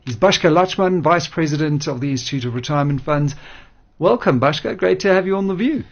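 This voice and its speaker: A man hosting a radio interview, speaking in measured, formal phrases with hesitant pauses. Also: fairly high pitch, expressive, gravelly, highs cut off. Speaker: radio show host interviewing a guest.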